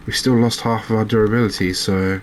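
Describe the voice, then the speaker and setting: A man giving soft-spoken, unhurried ASMR commentary as he plays a game, musing aloud to his viewers. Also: fairly low pitch, steady tone, slight background noise. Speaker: game streamer, host.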